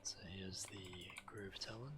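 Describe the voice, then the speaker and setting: Man voicing a deep-voiced courteous fantasy scholar. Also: close to an everyday voice. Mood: courteous, earnest. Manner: formal, polite, measured phrasing. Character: courteous male scholar visiting enchanted gardens. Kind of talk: fantasy game character dialogue. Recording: game music under the voice.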